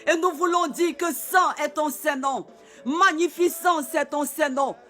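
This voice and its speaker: A man leading a fervent spoken prayer, repeating phrases and often calling out loudly, with soft music behind him. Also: very high-pitched, unhurried. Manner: fervent, repetitive, often shouted. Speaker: pastor. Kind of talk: prayer and worship exhortation.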